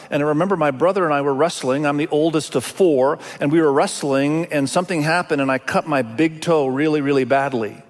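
A man from Indonesia, a native Indonesian speaker, preaching a sermon as a pastor in an emphatic way with deliberate pauses and repetition. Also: a little high. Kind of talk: expository sermon. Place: large hall, speaking to a congregation. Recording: reverberant large hall sound.

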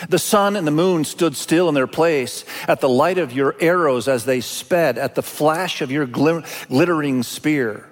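A man from Indonesia, a native Indonesian speaker, preaching a sermon as a pastor in an emphatic way with deliberate pauses and repetition. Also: medium pitch. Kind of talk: expository sermon. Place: large hall, speaking to a congregation. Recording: reverberant large hall sound.

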